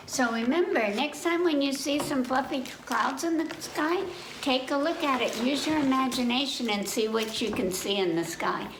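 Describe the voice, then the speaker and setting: Female storytime host, lively pitch swings, unhurried delivery, chatty questions to young listeners, roomy sound. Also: recorded from a distance. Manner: animated, asks listeners questions, chatty asides. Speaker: children's library storytime host. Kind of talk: reading picture books aloud to children. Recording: echoey room, faint background noise.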